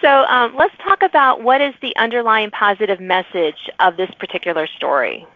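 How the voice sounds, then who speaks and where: A woman's voice: mid-range voice, expressive, moderate pace, narrow, tinny audio. A woman hosting a conversational podcast interview with authors. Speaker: podcast host.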